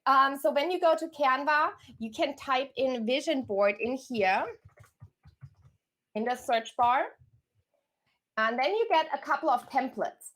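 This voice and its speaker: A woman's voice: sits high, unhurried, long pauses, a bit bright. An enthusiastic, effusive woman from Germany, talking conversationally as a career coach hosting a live online workshop.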